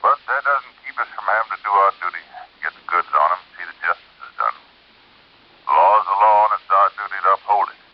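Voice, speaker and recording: A plainspoken, dutiful American police lieutenant of vintage radio drama. The voice is a very deep, husky bass with a folksy, courteous delivery, on a dull old recording. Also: male voice, animated. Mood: firm, dutiful. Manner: folksy, measured. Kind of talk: 1950s radio drama acting.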